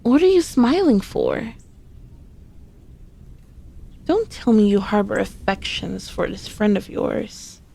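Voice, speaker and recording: A woman's voice: medium pitch, creaky, warm tone, exaggerated voice. A woman playing a sassy, sneaky, possessive centuries-old female demon in an ASMR roleplay, speaking in a haughty, teasing, formally old-fashioned way. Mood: annoyed, sassy. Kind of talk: ASMR roleplay, scripted character acting.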